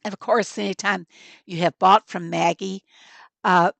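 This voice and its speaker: A hoarse woman chats through a game livestream, her voice a little cracked but upbeat and friendly. She talks straight to her viewers at a mid pitch, laughing easily. The sound is dry and close, with no room around it. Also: steady tone, measured pace, fairly bright tone, no room sound. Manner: chatty, upbeat, laughs often, talks to chat. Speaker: game streamer. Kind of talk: casual livestream chat to viewers.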